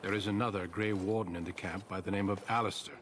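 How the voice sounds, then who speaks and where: An actor playing a seasoned, dutiful commander in a fantasy video game, speaking in a grave, formal, measured way. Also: male voice, deep voice, steady tone, background noise, close to an everyday voice. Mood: solemn, stern. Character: solemn, seasoned male Grey Warden commander, grave and dutiful. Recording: music behind the voice.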